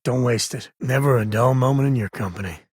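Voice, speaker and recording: An actor playing a rugged, wry frontier space explorer in a video game, with a husky voice and folksy, conversational delivery. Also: male voice, medium pitch, a little fast, dry recording, clean studio sound, lightly acted. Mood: wry, easygoing. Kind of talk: video game character dialogue.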